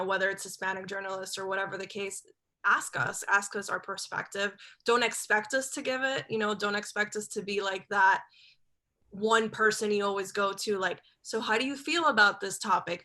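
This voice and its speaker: Bright-voiced woman on a video panel. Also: mid-range voice. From Cuba. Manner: candid, conversational, repeats words. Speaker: TV journalist, panelist. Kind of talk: panel discussion.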